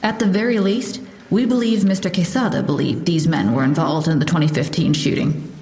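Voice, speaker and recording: A composed police lieutenant , played by a woman, addresses the press in a formal, measured tone. Her voice sits a little low for a woman and rings with a roomy echo, as if spoken in a hard-walled briefing room. This is an audio drama scene. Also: mellow, lightly acted. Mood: official. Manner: formal, measured official statement.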